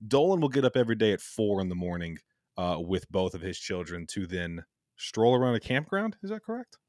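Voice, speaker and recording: A man in his thirties runs a casual sports podcast with an upbeat, joking manner and an occasional swear. His voice sits low, and it is recorded close and dry with no room sound. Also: big pitch swings, brisk, a little mellow, no room sound. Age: 30s. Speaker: podcast co-host.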